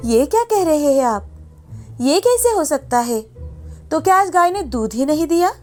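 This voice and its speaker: Woman acting high-voiced caring village wife. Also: sing-song, normal speed, darkish tone, faint music behind. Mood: surprised. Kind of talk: scripted moral story dialogue.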